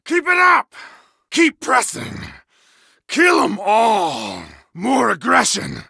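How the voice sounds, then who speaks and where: An actor voicing a fierce, bloodthirsty bearded berserker warrior in a video game, barking gravelly battle calls. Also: male voice, extremely high pitch, wildly swooping pitch, takes it slowly, dry recording, full character voice. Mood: commanding. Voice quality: gravelly shouting. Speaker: game character voice lines. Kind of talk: video game combat barks. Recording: dry studio recording.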